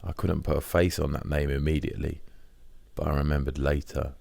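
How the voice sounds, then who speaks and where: Man, very low bass voice, dry close sound, scripted diary audiobook narration. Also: steady tone, brisk, creaky, darkish tone, no room sound.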